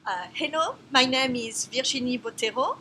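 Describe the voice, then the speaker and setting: A woman's voice: high-pitched, lively intonation, normal speed, bright, forceful tone, slight background noise. A woman from France with a strong French accent, introducing herself as a professor of a course in careful, non-native phrasing. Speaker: biology professor.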